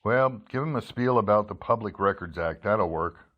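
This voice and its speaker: A man's voice: lowish voice, moderate pace, dull audio, natural voice. A man playing a persistent investigative reporter in an old-time radio drama, matter-of-fact and clipped with a sardonic edge. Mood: brisk, purposeful. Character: persistent, dry-witted male investigative newspaper reporter. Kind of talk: old-time radio drama, first-person narration and dialogue.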